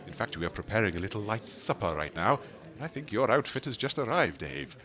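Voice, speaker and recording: A grand, courtly gentleman of an audio comedy. His voice is low yet bright and forceful, with swooping intonation. He talks in flowery, formal phrases, as a pompous host would. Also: big pitch swings, a little fast. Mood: courteous, drily amused. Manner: flowery, formal, theatrical speech. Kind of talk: comedic audio drama acting.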